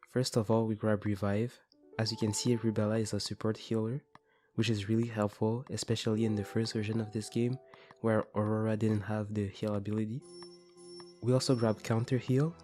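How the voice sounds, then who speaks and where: A man's voice: on the low side, little pitch movement, normal speed, darkish tone, soft background music. A man giving explanatory let's play commentary as a voice-over on a video game. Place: voice-over recorded over game music.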